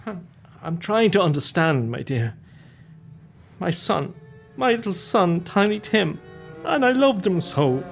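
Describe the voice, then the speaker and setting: A man acting a gentle, grieving father in a radio play, halting and stammering tenderly. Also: sits high, sing-song, gravelly, soft background music, heavily acted. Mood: breaking grief. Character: gentle, grief-stricken humble father.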